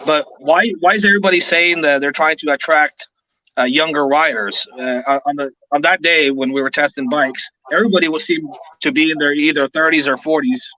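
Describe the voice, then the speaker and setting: A talkative man in his thirties asks questions and rambles in a chatty way. His voice is slightly high and bright. The audio is a bit dull and lo-fi. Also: fairly even intonation, brisk, dry recording. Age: 30s. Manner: curious, talkative, loose phrasing. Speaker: co-host. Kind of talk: casual chat.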